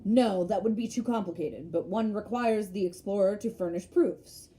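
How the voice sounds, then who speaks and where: A woman's voice: mid-range voice, normal speed, background noise, close to an everyday voice. A woman voicing a self-important old male geographer from a storybook, lecturing with pedantic emphasis. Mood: pompous.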